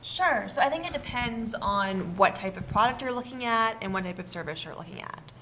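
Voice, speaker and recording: A woman panelist answering an audience question about investment fees in a brisk, informative way. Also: medium pitch, lively intonation, pushed and bright, a little hiss or hum. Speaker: panelist, finance expert. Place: event room with audience.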